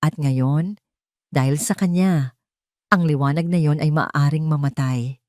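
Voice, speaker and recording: A woman with a low voice narrates a dramatic love story. Her phrasing is deliberate and solemn, broken by dramatic pauses. The sound is very dry, with no room around it. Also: a little mellow, no room sound.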